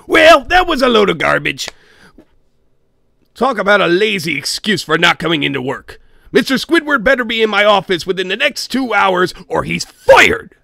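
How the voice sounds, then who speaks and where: A man voicing a greedy, grumpy cartoon crab boss who reads a horror story in a theatrical, expressive way. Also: high voice, normal speed, vocal fry, no room sound, exaggerated voice. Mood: indignant, dismissive. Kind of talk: dramatic creepypasta reading.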